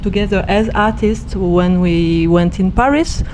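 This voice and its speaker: A woman from Switzerland, an artist speaking English haltingly, searching for her words in an interview. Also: medium pitch, darkish tone, slight background noise. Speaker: artist and director. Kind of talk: interview answers.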